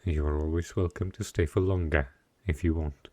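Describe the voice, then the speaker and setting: A man playing a lonely, gentle but possessive dark knight in an intimate fantasy audio roleplay, speaking softly and haltingly. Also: a bass voice, fairly even intonation, no room sound. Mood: tender, wistful.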